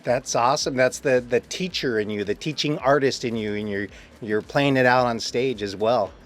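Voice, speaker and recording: A man's voice: medium pitch, moderate pace, faint music behind. A man interviewing someone casually, with an enthusiastic, encouraging way of talking.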